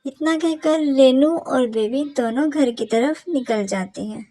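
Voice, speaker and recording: A woman reading scripted storybook narration for an animated cartoon. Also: high voice, unhurried, no room sound.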